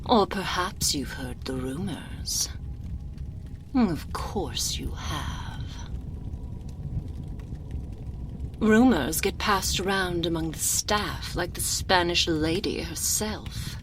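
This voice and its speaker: A woman playing an imperious, seductive, sinister vampire countess in an ASMR roleplay, haughty and velvety, drawing out her words and sinking into breathy whispers. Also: fairly low pitch, expressive, a little hiss or hum. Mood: sly, menacing. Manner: haughty, velvety, drawn-out purring delivery with breathy whispered endings. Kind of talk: binaural ASMR roleplay, theatrical character acting. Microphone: close binaural microphone.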